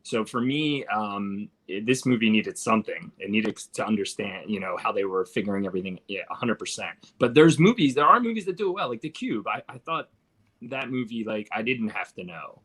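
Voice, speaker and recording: A man's voice: on the low side, lively intonation, a little fast, fairly bright tone, husky. A man giving his opinions as a panelist in a casual movie discussion. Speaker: film podcast panelist.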